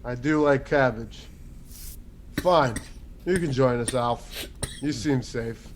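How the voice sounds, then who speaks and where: A man's voice: mid-range voice, takes it slowly, a little hiss or hum, lightly acted. A man voicing a blunt, boastful warrior character who teases his companions. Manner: blunt, teasing.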